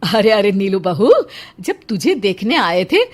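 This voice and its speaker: A woman's voice: medium pitch, big pitch swings, a little slow. A woman voicing a fretful, insecure middle-aged mother-in-law with a complaining, exclamatory manner in a cartoon moral story. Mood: indignant. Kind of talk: animated moral story acting.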